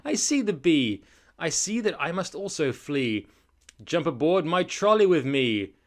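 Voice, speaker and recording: A man's voice: a little high, big pitch swings, a little slow, voice pushed up. A man voicing a brave, cheerful female tea seller in a storybook, bold and hospitable. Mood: resolved.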